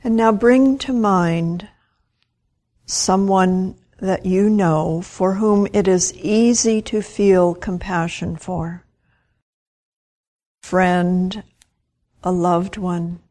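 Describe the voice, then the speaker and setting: A woman guides a meditation in a slightly low voice. Her delivery is very slow and gentle. She speaks in short phrases and leaves long, unhurried pauses between them. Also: fairly even intonation. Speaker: meditation teacher.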